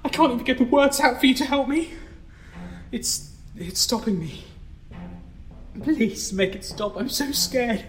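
A man's voice: a little high, takes it slowly, slight background noise. A young man playing a frightened ensign who is turning into a machine and whose speech goes stiff and formal. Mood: terrified. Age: young adult. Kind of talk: audio drama acting.

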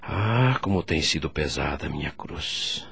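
An actor playing a remorseful doctor in the afterlife, telling his story gravely and sorrowfully in a Brazilian radio drama, with a Brazilian accent. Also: very deep voice, lively intonation, a little slow, a bit bright, full character voice. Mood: suffering. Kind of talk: dramatic first-person radio narration.

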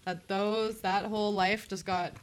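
A woman's voice: mid-range voice, a little slow, a little hiss or hum. A chatty young woman talking casually as a guest in an interview. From Canada. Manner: animated, laughs often, chatty. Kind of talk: casual chat.

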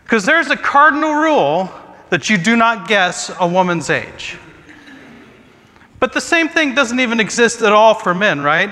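A high, bright male voice belongs to a pastor in his forties who jokes at his own expense in a chatty sermon. His words ring out with a long reverb, as in a large church sanctuary. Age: 40s. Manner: self-deprecating joking, chatty asides. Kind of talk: humorous sermon talk.

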